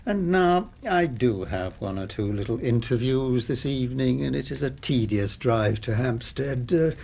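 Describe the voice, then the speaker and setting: An actor playing a coolly menacing Victorian gentleman with a British accent, measured, polite and formal, in a radio drama. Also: mid-range voice, animated, vocal fry, a little hiss or hum. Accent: British English.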